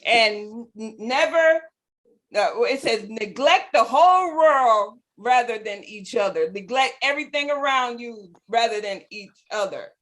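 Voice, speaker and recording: A woman's voice: on the high side, expressive, unhurried, fairly bright tone. A woman hosting a casual panel chat, interjecting to steer and moderate the talk. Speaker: podcast host.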